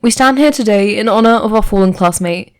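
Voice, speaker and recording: A woman's voice: mid-range voice, a little fast, clean tone, close to an everyday voice. A woman voicing a sincere teenage boy who speaks with ceremonial solemnity in a story reading. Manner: ceremonial eulogizing.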